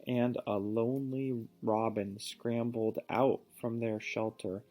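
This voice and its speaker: A man reading a picture book aloud to a child, pausing to ask questions and give patient encouragement. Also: mid-range voice, steady tone, dark tone. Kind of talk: storybook read-aloud with teaching asides.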